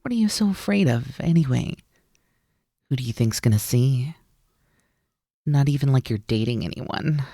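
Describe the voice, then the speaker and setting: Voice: medium pitch, lively intonation, moderate pace, pauses often, warm tone, no room sound, clean studio sound. A performer in an ASMR roleplay voicing a mischievous, teasing naga snake-demon roommate who coaxes playfully and likes to be in charge. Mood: teasing, coaxing.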